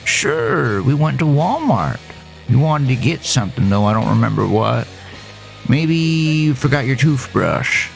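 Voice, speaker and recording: A man voicing a frail, meek and gently confused elderly father in an audio drama. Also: mid-range voice, very animated, a little slow, creaky, soft background music, pitched above the performer's own voice, low-quality recording. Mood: vague, matter-of-fact. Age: older. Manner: meek, vague, dismissive of concern. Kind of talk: audio drama character dialogue.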